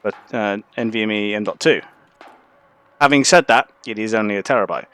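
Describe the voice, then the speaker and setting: A man's voice: lowish voice, brisk, fairly bright tone, clean tone. A man streaming a video game, chatting casually and swearing freely. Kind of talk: casual gaming commentary.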